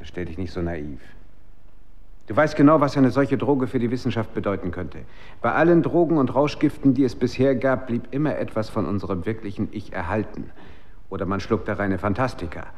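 A man's voice: lowish voice, lively intonation, fairly quick, close to an everyday voice. An actor playing a driven, defensive male researcher in a German radio drama. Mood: intent, irritated.

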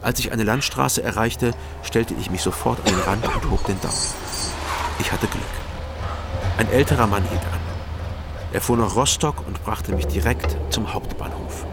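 An actor playing a male former detective who narrates his own story in a measured, dry way, in a radio drama. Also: lowish voice, very noisy, lightly acted. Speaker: first-person narrator and detective. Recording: radio play over loud music score.

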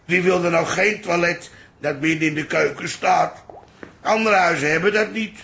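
A man's voice: fairly high pitch, normal speed, vocal fry, a bit bright. An actor playing a stubborn, wily old grandfather in a radio drama, grumbly and theatrical. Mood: martyred. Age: older.

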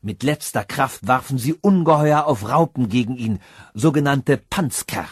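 Male audiobook narrator, husky tone, dry close sound, dramatic fairy-tale telling. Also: mid-range voice, animated, normal speed, dry recording.

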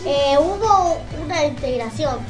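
A woman's voice: sits high, animated, unhurried. A woman co-hosting a radio show in a casual announcing style. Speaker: community radio co-host. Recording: music playing behind the voice.

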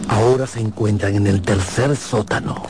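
An actor playing a dramatic storyteller, narrating a radio drama in a measured, suspenseful way. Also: male voice, on the low side, fairly even intonation, very noisy, close to an everyday voice. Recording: clear music behind the voice.

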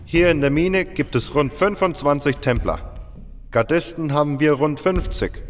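A man voicing a blunt, worried male leader of mine guards in a fan audio drama, talking gruffly and with exasperation. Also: a little high, measured pace, pitched above the performer's own voice, close to an everyday voice. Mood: grim. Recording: long hall reverb, faint music.

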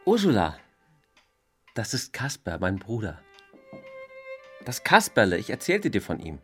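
An actor playing a wounded, reproachful housebound brother in a German radio play, speaking in a grave and portentous way. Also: male voice, on the low side, wildly swooping pitch, a little slow, a bit bright, soft background music, full character voice. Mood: introducing, wistful.

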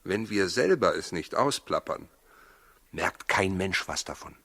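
An actor playing a practical, worried husband in a 1960s German radio play, plain-spoken and gruffly reassuring, with a husky voice. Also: male voice, sits low, expressive, measured pace, bright, forceful tone, full character voice.

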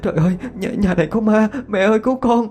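A man's voice: a little high, a little slow, dark tone, soft background music, voice pushed up, close to an everyday voice. A man voicing a frightened, timid young female student in a ghost story, stammering and pleading. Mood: terrified.

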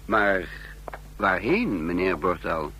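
An actor playing a shrewd secret agent in a radio drama, speaking in a measured, knowing way. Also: male voice, sits low, sing-song, pushed and bright, heavily acted. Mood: sly. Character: shrewd secret agent narrating his own spy scheme. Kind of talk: radio drama narration and acting. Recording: faintly noisy old radio recording.

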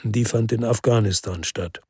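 Husky, world-weary male ex-cop. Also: fairly low pitch, normal speed, a little mellow, no room sound, clean studio sound. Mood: tense, matter-of-fact. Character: world-weary male ex-cop narrating his crime story. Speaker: narrator and lead character. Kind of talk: first-person crime radio drama narration.

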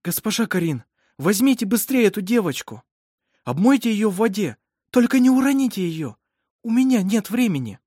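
Woman acting a brisk, commanding midwife, raised pitch. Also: expressive, darkish tone, breathy edge, no room sound, clean studio sound. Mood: urgent command. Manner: emphatic, authoritative.

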